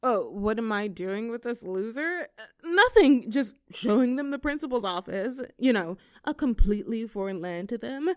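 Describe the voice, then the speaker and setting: A woman playing a popular high school cheerleader bully with a hidden soft side in an audio roleplay, teasing and sarcastic. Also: lively intonation, moderate pace, no room sound, clean studio sound, close to an everyday voice. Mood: mocking, bored. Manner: teasing, sarcastic, with flustered stammers when cornered. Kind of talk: audio roleplay acting, one side of a dialogue.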